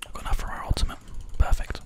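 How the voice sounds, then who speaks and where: Whispering ASMR gamer, very close. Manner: calm, unhurried, murmured running commentary. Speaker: host and gamer narrating play. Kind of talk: whispered ASMR game commentary. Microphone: close binaural microphone, ear to ear. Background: keyboard typing and mouse clicks.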